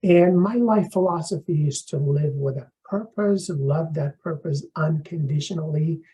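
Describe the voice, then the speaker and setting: A middle-aged man from Afghanistan, a professor introducing his course in a measured, explanatory lecturing manner. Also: on the high side, mellow, rough recording.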